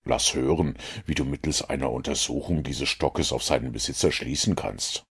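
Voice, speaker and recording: Male reader as storybook detective, calm, superior, husky, bottomless low pitch, condescending. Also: exaggerated voice. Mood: probing, calm. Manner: measured, dryly condescending.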